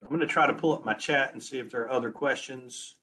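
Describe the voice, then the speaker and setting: A man presenting on a webinar as a transportation planner, losing his voice and talking haltingly, restarting his words. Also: mid-range voice, sharp tone, low-quality recording. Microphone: video call.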